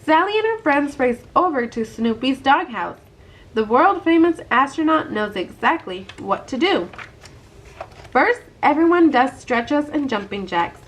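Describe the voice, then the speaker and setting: A woman's voice: high voice, big pitch swings, a little slow, slight background noise. A woman reading aloud from a children's book as a storyteller. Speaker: storybook reader. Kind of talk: children's read-aloud narration.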